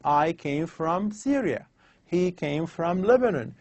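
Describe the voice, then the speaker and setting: A man lecturing on English grammar over slides, explaining slowly and repeating his examples. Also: fairly high pitch, expressive, dry recording.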